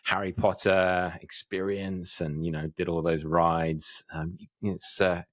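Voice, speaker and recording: A man's voice: deep voice, level tone, takes it slowly, no echo. A man co-hosting a casual tech podcast, chatty and rambling, laughing at his own jokes. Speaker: podcast co-host.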